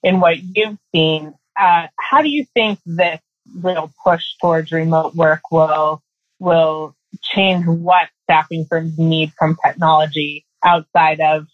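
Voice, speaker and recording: A woman's voice: deep voice, dry recording. A woman hosts a conversational interview, phrasing her points thoughtfully and with some hesitation. The sound is close and dry but a little muffled, like a video call. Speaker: podcast interviewer.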